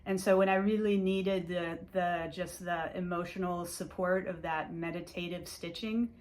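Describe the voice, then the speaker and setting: Hungarian-born woman, casual vlog host, slightly low voice, rambling and chatty. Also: fairly even intonation, normal speed, a little mellow. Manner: chatty, rambling with many fillers and restarts. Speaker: cross-stitch vlogger. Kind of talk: casual show-and-tell chat.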